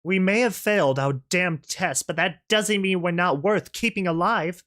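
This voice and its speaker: Storybook young pony, played by a narrator: earnest student, halting, upset but plucky and determined. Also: medium pitch, a bit bright, voice pushed up, natural voice. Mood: defiant. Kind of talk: audio storybook character voice.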